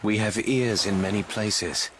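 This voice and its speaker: An actor playing a cynical, guarded young knight in a dramatic video game cutscene, with measured, formal archaic phrasing. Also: male voice, lowish voice, background noise, lightly acted. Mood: cool, warning. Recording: music playing behind the voice.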